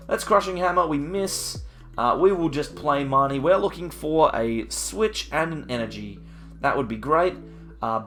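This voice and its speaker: Croaky man musing over card plays. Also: medium pitch, fairly bright tone. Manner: thinking aloud, musing over each move with frequent ums. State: slightly croaky from hay fever. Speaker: card game channel host. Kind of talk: casual play-by-play commentary of his own online card game.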